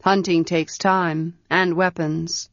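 Lady reading as a chill wry wizard guy, slow and even, dry dull recording. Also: fairly low pitch, no echo. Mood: calm, wry. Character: calm, wry male wizard, gentle and patient.

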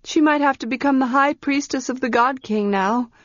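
A woman's voice: high-pitched, normal speed, dry recording, voice pushed up, close to an everyday voice. A woman reading an audiobook, voicing an earnest young former priestess who asks breathless, wondering questions. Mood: wistful. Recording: dry, slightly dull audiobook recording.

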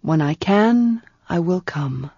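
A woman reading the part of a gentle, wise male wizard, who speaks in a quiet, soothing and measured way. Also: lowish voice, animated, slightly rough, no room sound, heavily acted. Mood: tender. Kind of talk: audiobook character reading. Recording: dull, muffled sound.

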